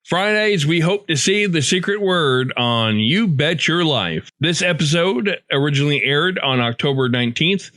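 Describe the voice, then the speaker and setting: A man's voice: on the high side, animated, normal speed, few pauses, a bit bright, dry recording. A man hosting a podcast, reading a scripted introduction to the show. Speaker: podcast host.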